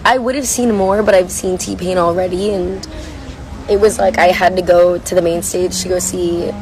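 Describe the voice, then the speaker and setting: Hoarse young woman, gravelly low voice. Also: fairly even intonation, normal speed, a little mellow, slight background noise, rough recording. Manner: chatty, rambling, reads comments aloud. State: hoarse, lost voice. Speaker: livestreamer answering viewer comments. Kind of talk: casual chat. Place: coffee shop.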